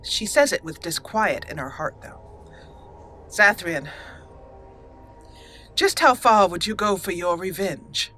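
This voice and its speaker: A woman hosting a let's play, narrating in a theatrical, deliberate roleplay style with dramatic pauses. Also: on the low side, animated, pushed and bright, soft background music. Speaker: gaming channel host.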